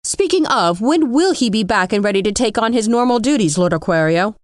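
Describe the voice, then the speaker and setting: A woman's voice: on the high side, lively intonation, brisk, no room sound, clean studio sound, natural voice. An actress playing a shrewd, skeptical, assertive female general in an audio drama, with a pointed, formal manner. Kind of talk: scripted audio drama acting.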